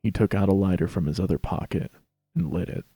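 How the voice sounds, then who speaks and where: A man's voice: low voice, brisk, mellow, breathy edge. A man narrating a dramatic reading of fan fiction.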